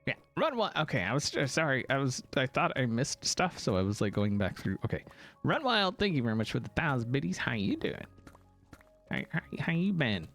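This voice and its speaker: A man hosting a game live stream, chatting casually and thanking viewers, with a gravelly morning voice. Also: medium pitch, big pitch swings, fairly bright tone, soft background music. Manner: playful, jokey, thanks donors rapidly. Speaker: livestream host.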